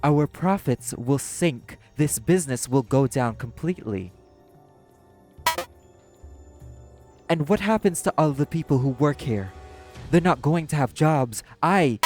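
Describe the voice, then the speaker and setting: A man acting in an audio roleplay as a cynical, world-weary socialite who runs a club. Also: a little high. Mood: alarmed, indignant. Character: apathetic, cynical socialite club manager and parent.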